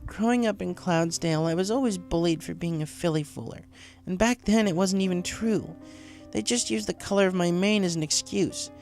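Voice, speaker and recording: A man's voice: sits high, normal speed, creaky, a little mellow, pitched above the performer's own voice. Guy doing a young girl pegasus pony, scratchy voice, bitter and wounded but defiant, storybook with music. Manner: bitter, emotional confiding. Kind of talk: acted storybook dialogue.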